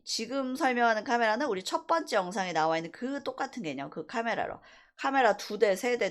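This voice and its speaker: Lively woman teaching software casually. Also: medium pitch. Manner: conversational, informal mix of polite and casual speech, rhetorical check-in questions. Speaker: animation software instructor. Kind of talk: casual screen-recorded software tutorial, thinking aloud while demonstrating.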